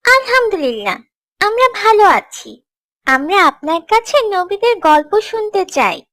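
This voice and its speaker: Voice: very high-pitched, sing-song, measured pace, pushed and bright, dry recording, clean studio sound, exaggerated voice. A performer voicing an eager, polite young child pupil in a scripted children's cartoon. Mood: curious, polite.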